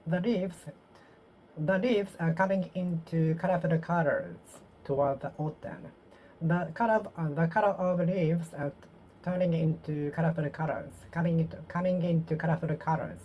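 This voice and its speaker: A Japanese woman with a Japanese accent practicing English aloud to herself, halting and repeating phrases as she corrects them. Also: low-pitched, steady tone, mellow, a little hiss or hum, poor audio. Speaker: vlogger. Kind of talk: solo English speaking practice, talking to herself.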